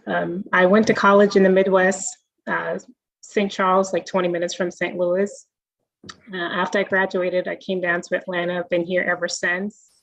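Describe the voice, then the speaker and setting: A young woman from the Bahamas, a guest answering interview questions in a friendly, measured way with frequent fillers over a video call. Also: lowish voice, little pitch movement, vocal fry, dry recording. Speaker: guest, self-directed IRA specialist. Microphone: video call mic. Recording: dull, dry video call audio.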